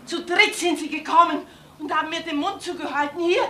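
An easily outraged landlady in a crime drama. Her voice is high and plaintive, full of lamenting exclamations, in a roomy, echoing space. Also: steady tone, a little fast, fairly bright tone, a little hiss or hum. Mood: distressed.

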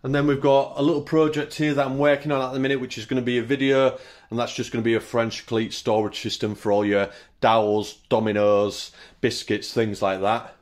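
A relaxed British man in his thirties walks through his small woodworking shop, listing tools in short, easy phrases. His voice sits at an ordinary middle pitch. It is picked up by the camera's own mic in a small indoor room. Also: a little fast. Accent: British English. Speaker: woodworking channel host. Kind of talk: casual workshop tour.

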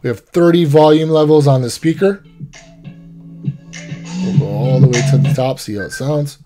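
A man's voice: on the high side, lively intonation, normal speed, loud music behind the voice. A man reviewing a product in a casual, step-by-step, matter-of-fact way.

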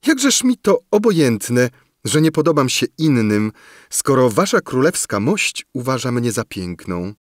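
A man's voice: mid-range voice, big pitch swings, measured pace, no room sound, clean studio sound, pitched above the performer's own voice. A man reading a storybook and voicing a sweet, adoring young princess who gushes.

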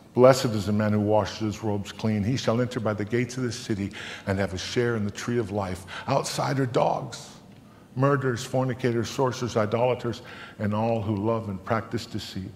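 Older guy in his 60s doing a solemn dramatic bible reading on stage, big echoey hall, theatrical and measured. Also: lowish voice, slight background noise. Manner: theatrical, solemn, measured, swelling to loud declamation and dropping to hushed tones. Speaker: dramatic reader reciting scripture. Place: large theatre stage, indoors. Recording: echoing hall, long reverb.

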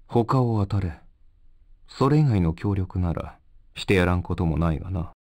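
Deep, aloof assassin leader. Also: male voice, animated, moderate pace, darkish tone, no echo. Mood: cool, weary. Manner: terse, aloof, formal. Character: cool, stern assassin leader, adult man. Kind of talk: anime game voice acting.

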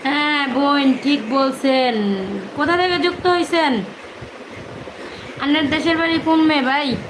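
High-pitched woman in an echoey, noisy room. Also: unhurried. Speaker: live stream co-host. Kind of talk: casual live chat with viewers.

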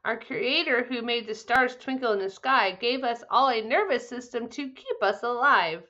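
A woman's voice: on the high side, animated, moderate pace, sharp tone, dull audio. A woman voicing a kindly male safari chiropractor in a children's storybook, instructive and reassuring.

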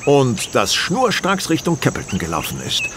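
An actor playing a confident, condescending detective in a German audio drama, lecturing precisely and theatrically. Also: male voice, medium pitch, wildly swooping pitch, normal speed, background noise. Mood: smugly lecturing.

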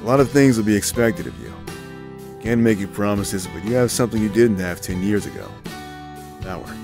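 A man playing a stoic, solemn mentor in an amateur audio drama, speaking in a grave, measured way. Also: fairly low pitch, lively intonation. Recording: music clearly under the voice.

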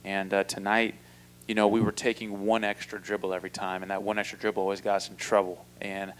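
Flat-voiced coach at a postgame podium. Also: male voice, on the low side, a little hiss or hum, rough recording. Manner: measured, flat delivery with many fillers and restarts. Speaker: basketball head coach. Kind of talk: postgame press conference answers. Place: indoor press room. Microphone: table mic in front.

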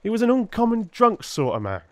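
A male reader puts on a plain-spoken police constable in a dramatic audiobook. He is touchy and blunt, talking colloquially with an aggrieved air and lively swings of pitch, recorded dry. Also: a little fast, no room sound, full character voice. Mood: irritated.

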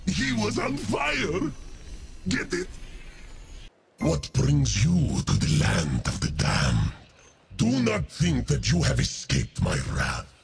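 An actor playing a menacing fire demon of metal and flame in a video game, with a gravelly growl and a grandiose, contemptuous manner. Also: male voice, medium pitch, huge pitch swings, slow pace, full character voice. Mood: joking. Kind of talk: video game character voice lines.

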